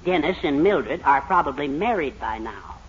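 An actor playing a meek, placating middle-aged husband with sly wisecracks in a 1940s radio sitcom. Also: male voice, on the high side, expressive, normal speed, natural voice. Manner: placating, hesitant. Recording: noisy, muffled 1940s radio recording.

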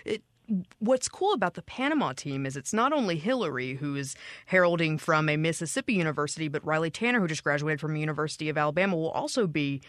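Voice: mid-range voice, lively intonation, fast, fairly bright tone, no echo. Dry, conversational radio interviewer. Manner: curious, conversational questions. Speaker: radio host. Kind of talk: interviewing a guest.

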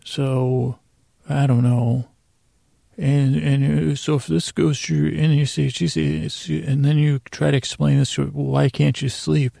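A man hosting a podcast with a rambling bedtime monologue, meandering, halting and circling back on his own sentences. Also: on the high side, flat delivery, vocal fry, dark tone, dry recording, clean studio sound. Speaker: podcast host.

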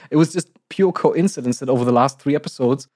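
Croaky-voiced man co-hosting a podcast. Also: medium pitch, a little mellow, dry recording, clean studio sound. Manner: articulate, measured, laughs often. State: slightly croaky, recovering from illness. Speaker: podcast co-host. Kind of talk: casual podcast announcement.

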